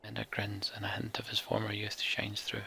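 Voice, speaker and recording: A man's voice: fairly low pitch, completely flat delivery, fairly quick, vocal fry, pushed and bright, slight background noise. A man hosting a game playthrough, reading the story text aloud dramatically and adding casual commentary. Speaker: let's play host.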